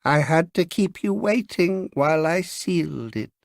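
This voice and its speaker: A man voicing an eccentric old woman in a storybook reading, with a squeaky, piping voice and a brisk manner. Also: expressive, gravelly, no echo, clean studio sound. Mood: solemn.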